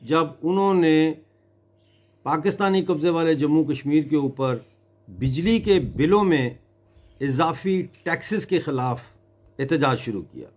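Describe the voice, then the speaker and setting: A man from Mirpur in Kashmir gives a political address as an activist, speaking gravely and deliberately with emphatic pauses. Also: fairly high pitch, expressive, a little mellow.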